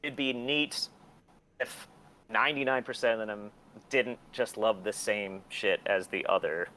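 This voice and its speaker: A man's voice: lowish voice, unhurried, sharp tone, a little hiss or hum, poor audio. A man in his forties talking candidly and casually as an interview guest, blunt and opinionated, swearing often. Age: 40s. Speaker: interview guest, record label and museum owner.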